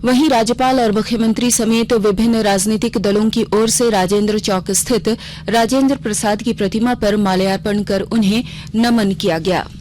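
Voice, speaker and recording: A woman's voice: mid-range voice, fairly even intonation, moderate pace, darkish tone, slight background noise. A woman reading a scripted regional news bulletin on the radio as the news reader.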